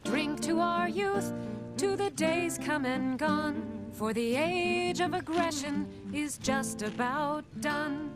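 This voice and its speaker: An actress performing a female tavern bard who sings a slow ode in a fantasy video game. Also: sits high, loud music behind the voice. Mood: solemn singing.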